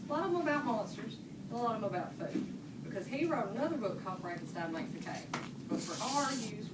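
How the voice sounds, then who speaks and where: A woman reading aloud and teaching a class, often checking in with questions, recorded on a phone across a classroom. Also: on the high side. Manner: checks in with listeners using frequent questions. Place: large classroom, indoors. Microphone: phone camera from across the room. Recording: distant, echoey classroom phone recording with heavy noise.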